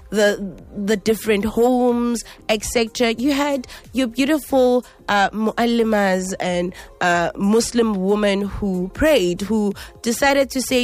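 A woman hosting a radio talk show, reading a measured introduction with fillers and restarts before turning to her guest. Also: a little high. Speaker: radio host.

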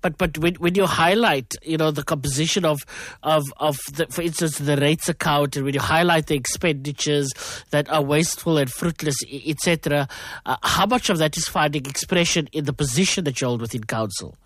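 A South African radio host interviewing a guest, with halting, filler-heavy questions. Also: male voice, a little high, a bit bright, no room sound.

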